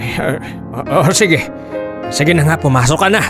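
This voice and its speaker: A man's voice: a little high, normal speed, music in the background, natural voice. A man playing a flustered, pushover father in a radio drama, hesitant and stammering.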